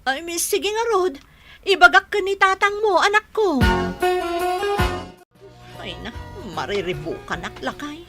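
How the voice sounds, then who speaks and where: A high-pitched, excitable middle-aged mother. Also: unhurried, pauses often, exaggerated voice. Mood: excited, then fussy complaining. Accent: northern Philippine. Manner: exclaiming, emotive. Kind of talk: radio drama acting. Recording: radio drama with faint music behind.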